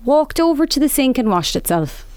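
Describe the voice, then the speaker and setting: A woman's voice: high voice, very animated, moderate pace, a little mellow, clear, resonant tone, dry recording. A young woman co-hosting a podcast, chatting casually and laughing easily. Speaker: podcast co-host. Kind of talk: casual chat.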